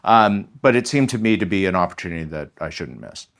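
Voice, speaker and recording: A man with a lowish voice, explaining things in a measured, thoughtful way. He pauses on ums and restarts phrases as he goes, like a professor talking casually as a podcast guest. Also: expressive, a bit bright. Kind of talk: conversational podcast interview.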